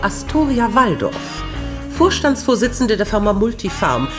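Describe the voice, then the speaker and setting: A woman's voice: on the high side, very animated, a little slow. A woman playing a haughty, theatrical corporate boss in a radio play, speaking grandly and precisely. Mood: haughty, savouring. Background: music.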